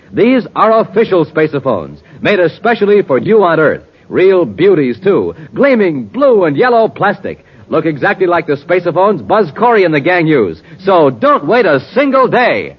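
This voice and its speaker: High-pitched, excitable 1950s male radio announcer. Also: slightly rough. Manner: urgent, exclamatory, enthusiastic. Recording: muffled old radio recording, faint hiss.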